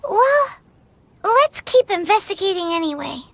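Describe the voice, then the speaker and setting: A woman playing a tiny childlike fairy girl in an anime game, perky, cheeky and excitable. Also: very high voice, a little slow, full character voice. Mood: curious. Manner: speaks of herself in third person, perky. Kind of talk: anime game character voice acting.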